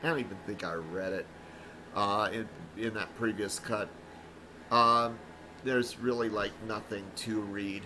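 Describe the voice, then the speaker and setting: Man, game streamer, bright voice, rambling casual commentary, faint background noise. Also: fairly low pitch, fairly even intonation, a little slow, rough recording. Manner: rambling, many fillers and restarts. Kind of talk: casual let's-play commentary.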